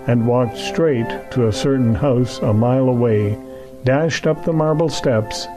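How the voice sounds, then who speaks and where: A man narrating an audio drama as a storybook tale, solemn and measured in his delivery. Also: mid-range voice. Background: music.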